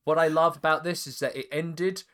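A man's voice: on the high side, steady tone, normal speed, a bit bright. A man with an English estuary accent, hosting a podcast in casual chat, talking loosely with frequent laughs and restarts. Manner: chatty, laughs often, stumbles and restarts. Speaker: podcast host.

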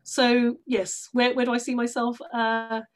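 Female, British accent, middle-aged, higher-pitched voice, effusive conversational interviewee. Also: monotone, unhurried. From London, England. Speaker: guest, stage actress.

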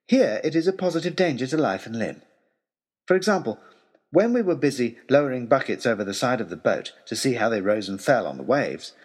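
A man's voice: medium pitch, slightly rough. A man playing an earnest tutor turned adventurer, reading out a letter in a formal, eloquent, wryly self-deprecating way. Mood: wry, animated. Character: earnest, wordy young male tutor turned would-be adventurer. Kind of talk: dramatic reading of a letter, storytelling.

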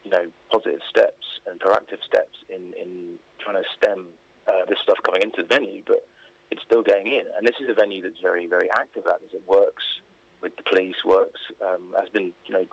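A man's voice: sits low, vocal fry, husky. A man being interviewed on the radio, talking informally and giving his opinions in a rambling way peppered with filler phrases. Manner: informal, opinionated, rambling with frequent "you know" and "like". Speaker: interview guest. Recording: dull, lo-fi phone-like radio link.

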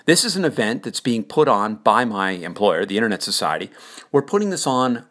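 A man's voice: fairly low pitch, sing-song, brisk, fairly bright tone. A man hosting a solo podcast, speaking in a conversational, enthusiastic way in an informal monologue. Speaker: podcast host. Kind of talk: casual informal monologue about an upcoming event.

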